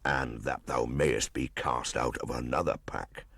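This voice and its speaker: A man's voice: very deep voice, fairly even intonation, a little slow, a bit bright, dry recording, studio quality, pitched below the performer's own voice, exaggerated voice. A man voicing a grave, anxious grey wolf, a loyal elder brother, in a storybook reading. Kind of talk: storybook audiobook acting.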